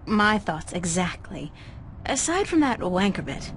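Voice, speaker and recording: A woman's voice: mid-range voice, big pitch swings, measured pace, slight background noise. An actress playing a friendly, inquisitive young woman in a video game, speaking casually. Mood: friendly, teasing. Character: friendly, curious young woman, newcomer to the city.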